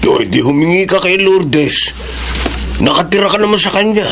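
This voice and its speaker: An actor with a Filipino accent playing an easygoing, teasing drinking buddy in a radio drama. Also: male voice, high voice, lively intonation, normal speed, a bit bright, a little hiss or hum. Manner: casual, chuckling, joking. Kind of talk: Tagalog radio drama acting.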